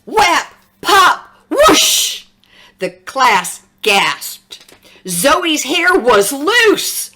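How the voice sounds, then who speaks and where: A woman reading a picture book aloud as a children's story, with dramatic, expressive delivery. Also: on the high side. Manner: slow, dramatic, animated storytelling with sound words.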